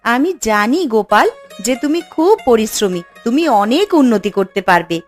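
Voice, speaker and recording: A woman voicing a caring, anxious village wife in a cartoon folk tale, speaking with expressive concern. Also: a little high, moderate pace, soft background music. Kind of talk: cartoon fairy-tale dialogue.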